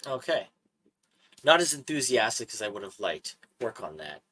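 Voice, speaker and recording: A man hosting a casual talk stream, animated and quick to laugh, often repeating his words. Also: fairly low pitch, slightly rough. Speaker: host. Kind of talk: casual podcast chat.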